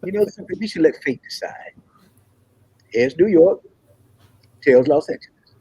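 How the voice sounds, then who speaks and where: A man's voice: a little high, expressive, normal speed, warm tone, slightly rough, dry recording, rough recording. A man playing an earnest, idealistic young African prince in a comedy table read. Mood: decisive.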